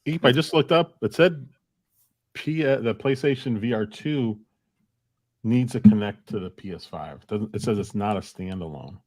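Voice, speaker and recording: A man's voice: lowish voice, moderate pace, darkish tone, no room sound. A man co-hosting a casual live-stream chat, speaking conversationally and relaying comments.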